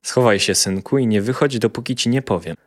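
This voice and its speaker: A man voicing a muscular, deliberate dwarf father, often exasperated, in a children's fairy tale. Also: on the low side, natural voice. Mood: serious warning.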